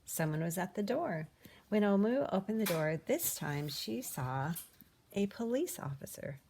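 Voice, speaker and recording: A woman reading a children's picture book aloud in an expressive, animated way, with dramatic pauses. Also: medium pitch, a little hiss or hum. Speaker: storybook reader.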